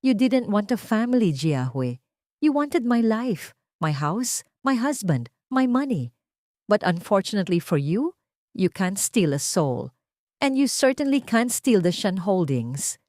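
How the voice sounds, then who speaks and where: A woman's voice: mid-range voice, big pitch swings, a little slow, a little mellow, no echo, studio quality, pitched above the performer's own voice. A woman voicing a wealthy betrayed wife in an audio drama, icy, scornful and vengeful. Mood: bitter, scornful. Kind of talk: dramatic audio story dialogue.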